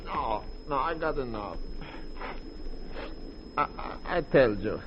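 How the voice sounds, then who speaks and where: An actor playing a passionate, boastful, lovesick pilot with a Latin American accent and broken English, in 1940s radio style. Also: male voice, medium pitch, lively intonation, takes it slowly. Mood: beaten, confessing. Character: hot-headed lovesick Latin pilot and smuggler. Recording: muffled, noisy old radio recording.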